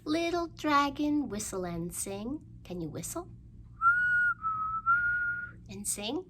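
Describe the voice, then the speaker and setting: Woman children's storytime host, very lively pitch. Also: slow pace, very noisy. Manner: playful, encouraging, asks listeners to join in. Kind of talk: interactive rhymes and craft talk for young children.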